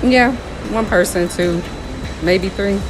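A woman's voice: medium pitch, slow pace, background noise. A young woman from Kannapolis, North Carolina, giving blunt, self-assured, brief answers. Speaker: interviewee. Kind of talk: casual answers. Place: outside a store entrance. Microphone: interviewer's handheld phone. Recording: background music under voices.

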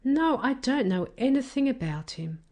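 A woman reading the part of a patient, reassuring father in a children's storybook, explaining things calmly. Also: sits high, moderate pace, pitched above the performer's own voice.

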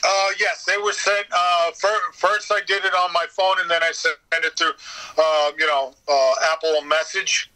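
A man with a very bright, forceful voice sitting a little high. He chats casually as an interview guest and tells anecdotes like a performer. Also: normal speed, rough recording. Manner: animated storyteller, slips into acting out bits. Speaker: interview guest, radio theater voice actor.

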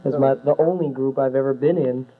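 A young man in a band, speaking quietly and modestly during an interview. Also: mid-range voice, steady tone, a little fast. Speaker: band member, guest. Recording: tinny lo-fi late-70s video sound with hum.

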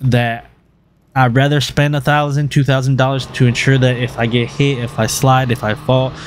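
A man's voice: medium pitch, little pitch movement, normal speed, a little hiss or hum. A man narrating his motorcycle vlog in an earnest, rambling way with many fillers and restarts. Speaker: motovlogger host. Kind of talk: casual voice-over vlog narration.

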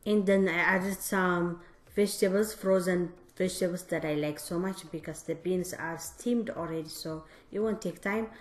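A woman's voice: fairly low pitch, fairly even intonation, moderate pace, faint music behind. Chatty woman cooking in an echoey kitchen. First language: Tigrinya. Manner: chatty, explains step by step, often addresses viewers directly. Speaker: home cooking vlogger. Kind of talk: casual cooking demonstration. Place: home kitchen, indoors. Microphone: camera mic, speaker off-screen behind the camera.

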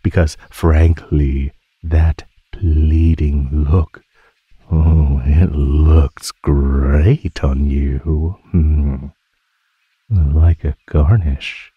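Man voicing a very deep, teasing predatory naga. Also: steady tone, breathy edge, phone-quality sound, exaggerated voice. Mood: playful menace. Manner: slow, drawling, mockingly sweet belittlement. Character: teasing, predatory male naga toying with captive prey. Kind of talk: ASMR roleplay, scripted monologue.

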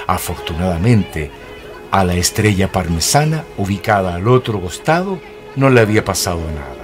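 A man's voice: deep voice, very animated, moderate pace, faint music behind. A Chilean man narrating a wry radio play in a dramatic storytelling style.

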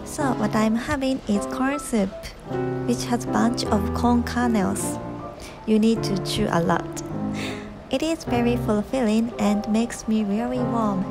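A woman's voice: a little high, unhurried. A young woman from Japan with a Japanese accent, a native Japanese speaker, narrating a travel vlog from a script. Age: young adult. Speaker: vlog host. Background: background music.

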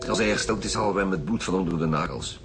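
An actor playing a gruff, bullying, impatient chief inspector in a radio drama, blunt and commanding, with a Flemish accent. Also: male voice, low-pitched, very fast, over music. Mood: cynical.

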